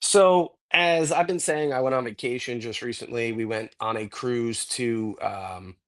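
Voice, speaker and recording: A man co-hosting a podcast, a little hoarse and congested from a cold, rambling enthusiastically in casual chat with lots of fillers. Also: mid-range voice, measured pace. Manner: rambling, enthusiastic cigar talk with many fillers. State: getting over a cold, a bit hoarse and congested. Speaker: podcast co-host.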